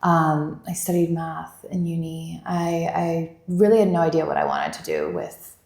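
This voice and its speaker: A Bulgarian-born woman in her thirties talks as a podcast guest, chatty and rambling. Her voice sits fairly low, with a gravelly edge, and it rings around an echoey room. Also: animated, unhurried, darkish tone. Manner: chatty, rambling, many "like" fillers. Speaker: venture investor guest. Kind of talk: casual interview chat.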